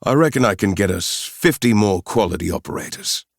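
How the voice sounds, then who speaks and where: A man voicing a gruff, blunt South African mercenary leader in his late forties, with a South African accent and a gravelly voice. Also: on the low side, lively intonation, fairly quick, dry recording, studio quality, voice pushed up. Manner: blunt, surly.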